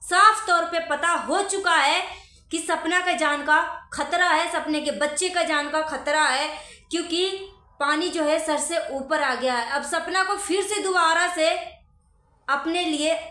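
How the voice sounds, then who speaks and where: High-pitched, bright-voiced woman vlogger. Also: a little slow, roomy sound. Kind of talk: opinionated commentary addressed to viewers.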